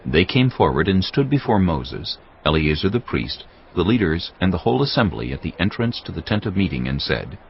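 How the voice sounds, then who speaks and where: A man narrating scripture from a script in a solemn, deliberate manner. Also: deep voice, big pitch swings, creaky, a little hiss or hum. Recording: slightly muffled, music underneath. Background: background music.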